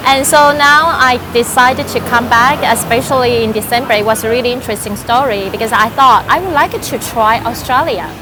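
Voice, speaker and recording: A woman's voice: a little high, a little fast, few pauses. A woman from Taiwan, a life and business coach chatting in an interview with many fillers and light laughs. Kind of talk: casual interview answers. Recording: noisy background.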